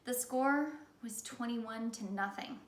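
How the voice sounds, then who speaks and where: A woman reading a children's story aloud in the animated style of a teacher. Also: a little high, takes it slowly, recorded from a distance. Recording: echoey room.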